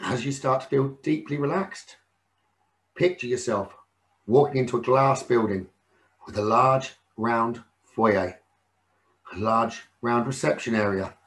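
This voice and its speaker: Guy doing guided meditation, calming slow delivery w lots of pauses, medium pitch. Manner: soothing, unhurried, with frequent pauses. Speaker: meditation teacher.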